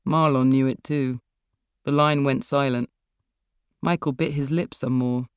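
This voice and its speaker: A woman's voice: extremely low pitch, fairly even intonation, a little slow, clean tone, no room sound. A woman reads literary fiction as its author, in narration style. The recording is very dry, with almost no room in it. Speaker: author reading own story. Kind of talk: literary short-story narration.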